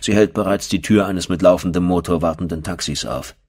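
A man's voice: deep voice, brisk, a little mellow, slightly rough. A man narrating a first-person horror audiobook as a storyteller.

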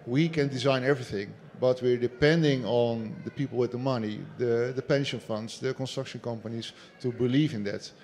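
A man on a seminar panel in a large indoor hall speaks for the construction industry. His voice sits at an average pitch, and he argues his points in a measured, emphatic way. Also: steady tone, a little mellow, a little hiss or hum. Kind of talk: panel discussion.

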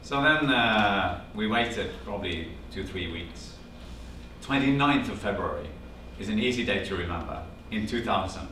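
A man's voice: lowish voice, moderate pace. A man in his fifties with a Norwegian accent, animatedly telling a personal story to a small audience and quoting family conversations. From Norway. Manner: animated storyteller, quotes family dialogue, gestures while talking. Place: classroom-sized meeting room with projector screen. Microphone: far camera, no visible mic. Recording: echoey room from far off, background hum.

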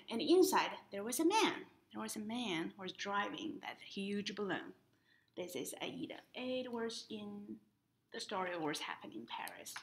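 Young woman reading aloud, lively swooping pitch. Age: young adult. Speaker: library storytime reader. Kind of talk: children's picture book read-aloud with explanatory asides. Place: indoors in front of a painted woodland mural.

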